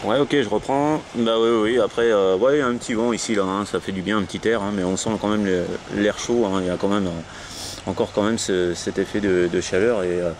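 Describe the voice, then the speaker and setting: A man's voice: fairly low pitch, steady tone, brisk. A man with a French accent chatting casually as an amateur radio operator, talking in a rambling, hesitant, repetitive way outdoors. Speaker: amateur CB radio operator leading a round of contacts. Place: outdoors on a low wall at the end of a sea dike. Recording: faint ambient noise, outdoor portable radio setup.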